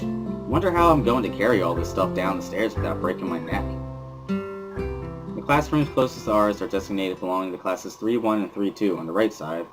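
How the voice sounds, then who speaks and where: A man with a fairly low voice reads scripted first-person narration. His delivery is wry and dry, like an inner monologue, and music plays behind him. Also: rough recording. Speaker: narrator of a visual novel reading.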